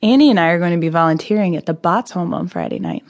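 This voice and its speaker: An actress playing a breezy, irreverent amateur sleuth who loves gossip, wry and chatty in an audio drama. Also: female voice, lowish voice, huge pitch swings, fast talker, a little mellow.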